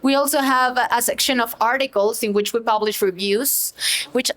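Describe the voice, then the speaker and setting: A woman's voice: fairly high pitch, normal speed, a bit bright. A woman moderating a panel discussion, speaking hesitantly with many fillers. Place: event hall panel.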